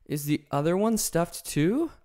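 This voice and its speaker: A man's voice: a little high, sing-song, measured pace, dark tone, pitched above the performer's own voice. A man voicing a big cowardly male lion in a storybook reading, meek and ashamed. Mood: timid.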